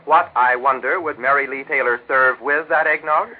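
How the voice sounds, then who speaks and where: A man's voice: mid-range voice, fairly even intonation, normal speed. An American man with a jovial, eager, hammy manner, delivering folksy scripted sponsor patter as a 1940s radio announcer and co-host. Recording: tinny band-limited 1940s radio recording.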